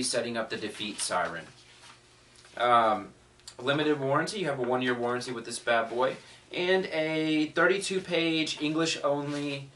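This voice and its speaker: A man's voice: medium pitch, fairly bright tone. Man reviewing, recorded from afar. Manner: thinking aloud, conversational. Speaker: product reviewer. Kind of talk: casual unboxing review. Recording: distant mic, faint noise, clicks.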